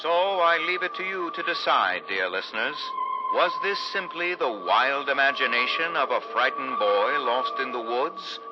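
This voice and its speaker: An actor playing an ominous, theatrical narrator of a vintage radio suspense serial. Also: male voice, medium pitch, animated, natural voice. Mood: ominous, intriguing. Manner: theatrical, ominous, deliberate emphasis. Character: dramatic old-time radio host, ominous and theatrical. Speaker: announcer and narrator. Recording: muffled vintage radio sound, loud music.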